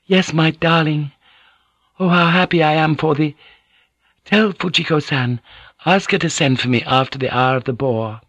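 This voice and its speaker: A man voicing a poised, higher-pitched Japanese noblewoman. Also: expressive, normal speed, a bit bright, no echo, muffled recording. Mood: eager, instructing. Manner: polite, restrained, formal. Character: poised, formal Japanese noblewoman in a historical novel.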